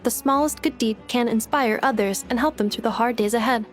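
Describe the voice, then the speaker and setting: A woman voices a serene female robot in a game, kindly and precise in her formal phrasing. Her voice sits fairly high, with faint music underneath. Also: fairly quick, natural voice. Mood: serene, helpful. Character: polite, kindly, composed female robot helper. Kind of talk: video game voice acting.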